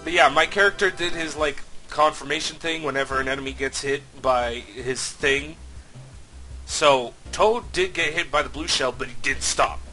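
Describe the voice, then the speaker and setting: A man commentating his own racing game playthrough, slightly sick and nasal, swearing often with sudden loud outbursts. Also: fairly high pitch, normal speed, faint music behind. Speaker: let's play host. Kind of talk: casual gameplay commentary.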